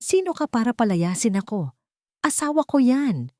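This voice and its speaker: A woman voicing a belligerent, bitter drunken husband with a Filipino accent in an audio drama, loud and taunting. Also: a little high, big pitch swings, normal speed, no echo, clean studio sound, pitched above the performer's own voice. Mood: angry shouting.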